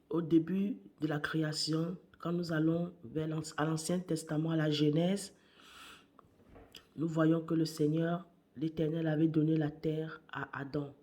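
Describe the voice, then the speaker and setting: A woman preaching a morning sermon while she has a cold. Her voice is worn, and she speaks deliberately, repeating key phrases and sometimes dropping to a hush. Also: low-pitched. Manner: slow and deliberate, repeats phrases, hushed at times.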